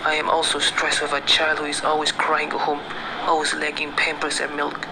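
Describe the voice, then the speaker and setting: A man's voice: fairly high pitch, completely flat delivery, moderate pace, natural voice. A man playing a short-tempered, lazy, self-righteous husband in an amateur radio drama, irritated and domineering. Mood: indignant. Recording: noisy amateur recording.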